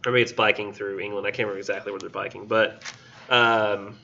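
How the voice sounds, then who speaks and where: A man's voice: fairly low pitch, flat delivery, fast, creaky, bright, forceful tone, slight background noise. A man teaching a college literature class, retelling a story in a loose, conversational way with acted-out quotes. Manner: casual and conversational, acts out characters in quotes. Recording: muffled classroom recording.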